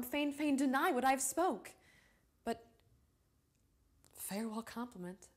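Actress as earnest, pleading young noblewoman in love; higher female voice, classical stage verse, deliberate phrasing. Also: close to an everyday voice. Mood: bashful, yearning. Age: young adult. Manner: deliberate, measured verse delivery with dramatic pauses. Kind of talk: classical Shakespearean stage monologue.

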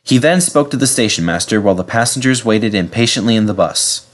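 A man narrating a children's storybook in an expressive, dramatic way. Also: on the low side, a little fast. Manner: animated, dramatic reading. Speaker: narrator reading a children's book.

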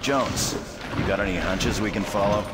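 A man playing a gruff, decisive military captain in a game cutscene, with clipped delivery. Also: fairly low pitch, normal speed, heavy background noise, natural voice. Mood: businesslike. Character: commanding special forces captain, gruff and decisive. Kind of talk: video game cutscene acting.

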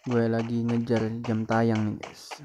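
A young man from Indonesia, hoarse from a cough, chatting casually to viewers while commenting on a game replay. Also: medium pitch, completely flat delivery, slow, background noise. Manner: slangy, chatty, keeps addressing viewers. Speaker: gaming YouTuber.

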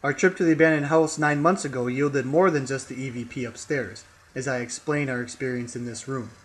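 A man's voice: mid-range voice, fairly even intonation, brisk, husky. A man narrating a paranormal investigation in a scripted, documentary style. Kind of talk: scripted narration of a ghost hunt.

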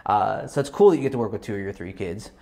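A middle-aged man from Pittsburgh hosts a relaxed interview, leaning on fillers like "like" and "you know". He is seated in a small studio. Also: on the low side, fast, creaky. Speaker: interview host, music store owner. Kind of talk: casual interview chat. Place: small studio set with backdrop.

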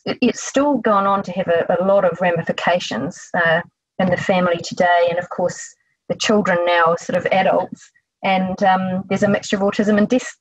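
A woman's voice: fairly low pitch, slightly rough, no room sound, dull audio. A middle-aged woman with a New Zealand accent, a therapist answering interview questions conversationally.

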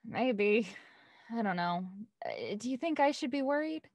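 A woman's voice: a little high, very animated, a little slow, darkish tone, dry recording. A young woman playing a shy, anxious character in an audio drama, hesitant in delivery. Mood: worried, shy. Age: young adult.

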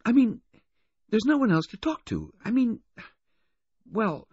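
Man voicing a high-pitched sulky teenage rich girl. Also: sing-song, measured pace, a little mellow, breathy edge, dry recording, lo-fi sound, heavily acted. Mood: embarrassed, vehement. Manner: disgruntled, blurting.

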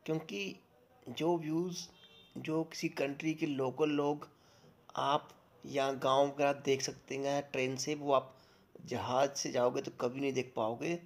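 A man's voice: mid-range voice, flat delivery, a little slow. A man giving a casual, enthusiastic voice-over to viewers about a train trip. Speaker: travel vlogger. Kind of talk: voice-over narration.

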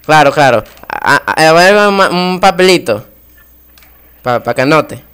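A man's voice: a little high, lively intonation, unhurried, a bit bright. A man with a Venezuelan accent making a prank phone call in casual talk, hesitant and repeating his words. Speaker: prank caller.

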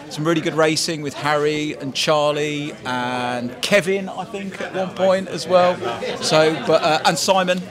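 Higher-voiced man chatting amid crowd. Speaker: club sailor being interviewed. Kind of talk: casual chat. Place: outdoor covered deck at a sailing club. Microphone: handheld interview microphone. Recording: busy outdoor crowd chatter.

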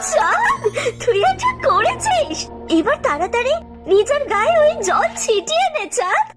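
A woman voicing a caring, knowing parrot guide in a cartoon, speaking in an emphatic, coaxing, drawn-out way. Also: very high voice, sing-song, pitched above the performer's own voice, full character voice. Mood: amazed. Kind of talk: cartoon voice acting. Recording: music under the voice.

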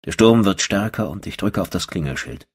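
Man, very low husky voice, horror audiobook narration, brooding, terse, dry. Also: lively intonation, a little fast.

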